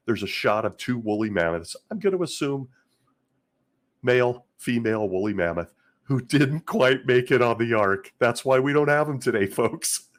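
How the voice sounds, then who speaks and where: A man hosting a casual commentary vlog, his voice scratchy and hoarse from illness, talking in a wry, sarcastic way with frequent chuckles. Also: on the low side. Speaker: host.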